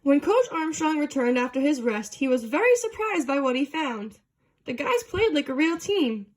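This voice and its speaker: High-voiced woman reading a children's storybook. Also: very animated, moderate pace. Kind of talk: read-aloud storybook narration.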